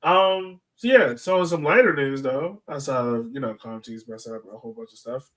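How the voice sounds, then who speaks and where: A man's voice: medium pitch, normal speed, fairly bright tone. A man hosting a sports podcast in a casual, chatty style.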